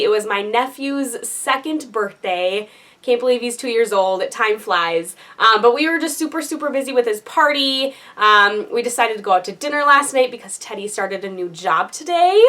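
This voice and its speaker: Young woman, casual vlogger, bright, chatty, filler-heavy, slightly stuffy nose. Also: fairly high pitch. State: congested. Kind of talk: casual vlog update.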